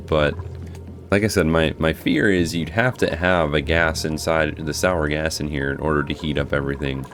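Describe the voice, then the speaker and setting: Hoarse deep-voiced man narrating gameplay. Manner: thinking aloud, relaxed, restarts. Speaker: game guide host. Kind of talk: casual gameplay commentary. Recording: music playing behind.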